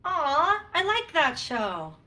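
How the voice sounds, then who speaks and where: Girl acting a nerdy excitable space science officer, high voice bouncing all over, comedy play. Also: slow, full character voice. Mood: geeky interest. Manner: excitable, chatty. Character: eager, quirky young female starship science officer.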